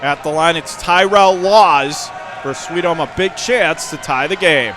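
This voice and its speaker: High-pitched American man calling basketball. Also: measured pace. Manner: excitable, clipped running call of the action. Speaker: radio play-by-play commentator. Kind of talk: live high school basketball play-by-play commentary. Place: courtside at a school gymnasium. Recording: gym crowd noise, faint background hiss. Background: crowd and bouncing basketball.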